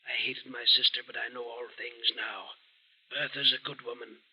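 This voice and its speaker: A man's voice: mid-range voice, fairly even intonation, pushed and bright, husky, low-quality recording. An actor playing the menacing, remorseful ghost of a haggard man, whispering solemnly in an old-fashioned radio mystery. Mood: remorseful, urgent. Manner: whispering, solemn, slow doom-laden pronouncements.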